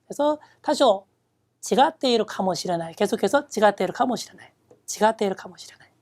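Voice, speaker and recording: A woman lecturing on exam reading questions, reading Japanese phrases slowly and then explaining them step by step. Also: medium pitch, expressive, a little mellow. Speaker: Japanese reading test instructor.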